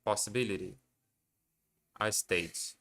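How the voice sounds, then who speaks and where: Slow, bright-voiced man thinking aloud. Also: fairly low pitch. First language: Portuguese. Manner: hesitant, self-correcting, muses aloud. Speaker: language learner streaming his study. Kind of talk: thinking aloud while studying.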